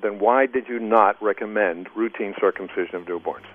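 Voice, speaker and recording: A man's voice: on the low side, moderate pace. A man speaking as a doctor guest, arguing combatively and emphatically, chuckling at his opponents. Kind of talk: argumentative debate. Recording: tinny phone line.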